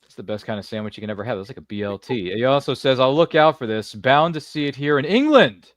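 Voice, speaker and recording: A man's voice: mid-range voice, expressive, fast talker, no room sound, clean studio sound. A man hosting a casual livestream chat in a jokey, chummy, rambling way. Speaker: podcast host.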